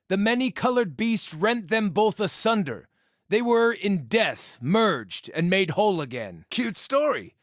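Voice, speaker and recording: An actor playing a sardonic, crude druid hunter in a fantasy video game, with a wry, theatrical way of talking. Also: male voice, sits high, fairly bright tone, dry recording, clean studio sound. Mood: solemn, then dry amusement. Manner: wry, theatrical, flowery insults.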